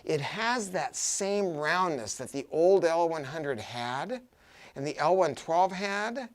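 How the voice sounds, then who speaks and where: An American man giving an enthusiastic, unscripted product pitch, chatty and emphatic, often repeating words for stress. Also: expressive, slow. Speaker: audio shop owner presenting a product review.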